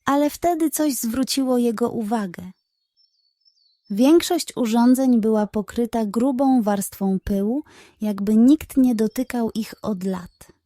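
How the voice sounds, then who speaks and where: A woman narrating a scripted bedtime story for children in an expressive, suspenseful way. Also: fairly high pitch, measured pace, no echo, studio quality.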